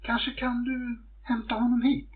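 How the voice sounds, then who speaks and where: A man's voice: very high voice, little pitch movement, voice pushed up. A man voicing a joking, easily frightened tailor in a folktale. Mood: teasing. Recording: muffled phone-like audio.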